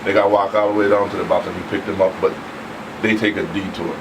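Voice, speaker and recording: A man's voice: sits low, level tone, quick pace. A man co-hosting a talk show, asking questions casually. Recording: distant mic, noisy room air.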